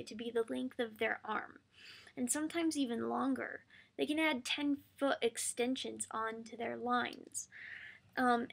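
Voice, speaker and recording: A woman losing her voice, speaking softly and haltingly with frequent pauses as she answers a viewer's question. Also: a little high. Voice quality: creaky, hoarse. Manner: soft-spoken, halting with frequent ums. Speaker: patient sharing her experience. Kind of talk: casual video answer to a viewer.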